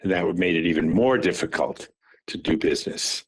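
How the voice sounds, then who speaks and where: An older man in his seventies talks on a phone call, his voice sitting low. He recalls things in a halting way, repeating words and restarting his sentences as he searches his memory. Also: creaky, slightly rough. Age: 70s. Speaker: father recounting family business.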